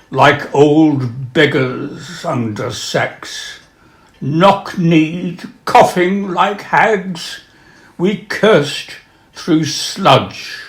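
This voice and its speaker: A man presenting and reading literature aloud, with a measured, dramatic delivery when reciting. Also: on the high side. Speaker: reader and presenter of poems and prose. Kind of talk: literary recital with spoken introductions.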